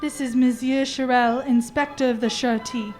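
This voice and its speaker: Radio drama: woman as jealous young man. High register, sulky, curt, petulant. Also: steady tone, moderate pace, a little mellow, faint music behind, lightly acted. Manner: petulant, brusque.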